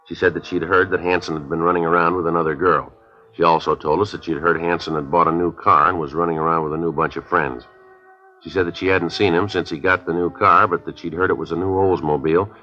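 An actor playing a terse American police detective in a 1950s radio crime drama, clipped and matter-of-fact, both in dialogue and narration. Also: male voice, extremely low pitch. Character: terse, businesslike male police detective who narrates his case. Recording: dull old radio broadcast recording.

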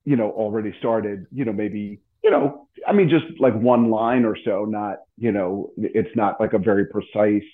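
Halting low-voiced man on a thin phone line. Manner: halting, repeats himself. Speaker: radio show host. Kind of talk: casual chat about old radio.

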